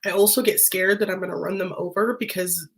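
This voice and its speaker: A woman's voice: fairly low pitch, fairly even intonation, a little fast, vocal fry. A woman talks casually to her viewers in a chatty, rambling way, full of fillers and small laughs. Her voice is nasal and congested, as if she is still getting over being sick. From United States. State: recovering from illness, congested. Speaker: vlogger. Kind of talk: casual chat to camera.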